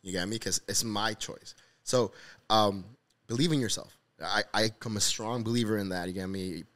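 A man's voice: lowish voice, moderate pace, fairly bright tone. A man in his thirties from Honduras hosting a casual interview, informal and encouraging, repeating phrases for emphasis. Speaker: podcast host.

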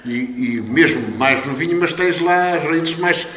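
A man's voice: fairly high pitch, measured pace, a crowd in the background. A man interviewing a young guest with leading questions and informal address. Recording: roomy echo.

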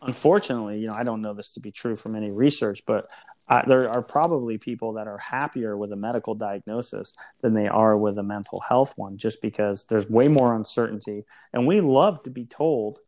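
A man's voice: lowish voice, fairly quick, darkish tone. A man who works as a therapist, speaking as a guest in a reflective, explanatory way with frequent fillers and restarts. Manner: thoughtful, explanatory, many hedging fillers. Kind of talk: reflective conversation.

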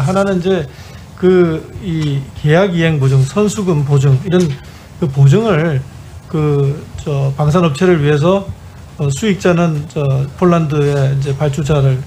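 Male executive at a hearing, slightly high mellow voice, formal but halting answers. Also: a little hiss or hum, low-quality recording. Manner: hesitant, halting explanation. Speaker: bank chief executive testifying to parliament. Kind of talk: formal testimony, answering questions. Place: parliamentary hearing room.

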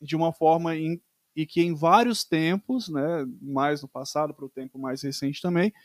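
Brazilian man hosting a casual talk, hesitant and thoughtful, fairly high voice, dry close sound. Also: normal speed, no echo. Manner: hesitant, searching for words. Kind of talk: casual chat.

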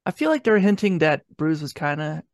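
A man reviewing casually on a podcast, speaking in a halting way peppered with filler words. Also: on the high side, sing-song, no echo, clean studio sound.

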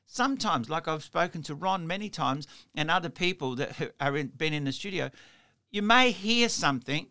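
A man's voice: a little high, lively intonation, moderate pace, pushed and bright, clear, resonant tone. A middle-aged man from Italy with an Australian accent, hosting a casual interview and telling chatty stories with lots of "you know". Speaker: podcast host.

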